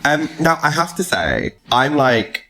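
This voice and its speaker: A man's voice: on the high side, very animated, a little slow, sharp tone, faint music behind. A British man in his thirties hosting a podcast in a casual, chatty, enthusiastic and self-deprecating way. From United Kingdom. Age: 30s. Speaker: podcast host. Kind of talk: casual chat.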